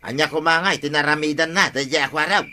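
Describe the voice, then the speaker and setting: A defensive, wily husband on village watch in a comedy radio play. His voice is edgy and very bright, with an Ilocano accent. He argues and protests in his own defence. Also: on the high side, fairly quick, a little hiss or hum. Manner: protesting, argumentative. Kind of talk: radio comedy drama acting.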